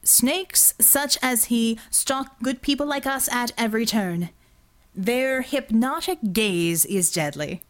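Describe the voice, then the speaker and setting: Smooth male showman boss played by a female narrator, slow political oratory, audiobook character. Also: on the high side. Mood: grandiose, measured.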